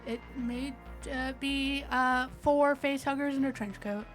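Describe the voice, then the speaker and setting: Woman, higher voice, playful joking manner, casual tabletop roleplay, music underneath. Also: fairly even intonation, measured pace. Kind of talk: casual banter and in-character roleplay.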